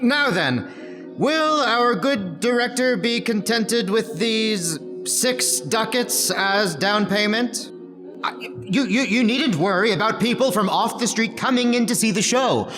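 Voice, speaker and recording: A man's voice: sits high, animated, slow. An actor playing a courteous, eager-to-please village alderman in a comic period play, deferential and formal. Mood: obliging. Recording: reverberant hall, music behind.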